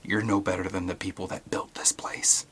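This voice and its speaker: Man voicing a stern, low, blunt paleontologist. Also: flat delivery, moderate pace, a bit bright, a little hiss or hum. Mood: cold, disgusted. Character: stern, commanding male paleontologist, brooding and decisive.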